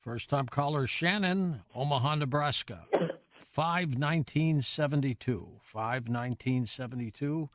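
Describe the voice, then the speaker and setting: A man's voice: medium pitch, a little slow, no echo. A man hosting a radio call-in show, introducing callers and giving brief prompts.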